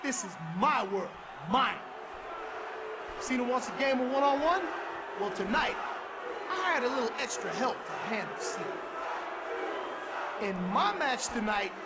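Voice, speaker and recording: A man's voice: extremely high pitch, animated, unhurried, pauses often. A man playing an arrogant, boastful wrestler with a pompous, taunting manner in a video game. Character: arrogant, boastful male wrestler, self-styled president. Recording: very noisy arena with loud crowd. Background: loud crowd.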